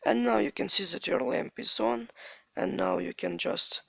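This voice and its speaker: A man from Ukraine with a Ukrainian accent, a native Ukrainian speaker, talking viewers through a game build step by step in halting non-native English. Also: high voice, wildly swooping pitch, vocal fry, a bit bright, dry recording, clean studio sound. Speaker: game tutorial creator. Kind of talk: step-by-step gameplay tutorial narration.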